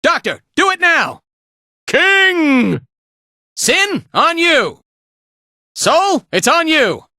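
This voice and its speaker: A man's voice: very high voice, sing-song, extremely slow, long pauses, pushed and bright, dry recording, studio quality, heavily acted. An actor playing a laid-back, playful male fighter in a video game, with an easygoing, casual drawl. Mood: excited. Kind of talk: animated video game voice lines.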